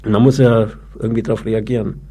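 Mellow man with a halting stutter. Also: on the low side, little pitch movement, breathy edge. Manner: stutters, halting delivery broken into short phrases. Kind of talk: casual conversation.

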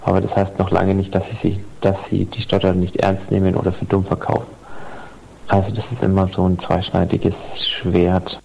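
A man giving his opinion as a listener contributor, thoughtful and halting with many fillers. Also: sits low, monotone, vocal fry, a little hiss or hum. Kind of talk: recorded listener statement. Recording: dull lo-fi home recording.

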